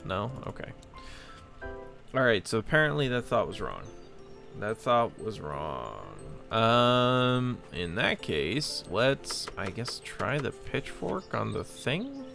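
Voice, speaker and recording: Guy doing casual lets play, thinking out loud, bit gravelly, voice jumps around, soft music under. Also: mid-range voice, very animated, slow. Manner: hesitant, rambling, puzzling aloud. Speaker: host of a gameplay channel. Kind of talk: casual let's play commentary, thinking aloud.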